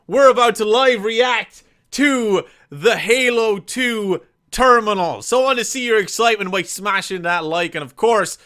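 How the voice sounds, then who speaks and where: A man's voice: a little high, a bit bright. A man hosting a live reaction stream, addressing viewers in a hyped, showman-like way. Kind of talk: excited live reaction intro and interjections.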